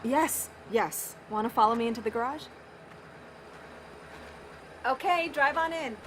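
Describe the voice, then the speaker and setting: Chatty, flustered, good-hearted doctor, played by an actress. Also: female voice, fairly high pitch, unhurried, slight background noise, natural voice. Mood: awkwardly cheerful. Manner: chatty, awkward, self-interrupting. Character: friendly, flustered, apologetic woman pediatrician who shelters fugitives. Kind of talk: naturalistic audio drama dialogue.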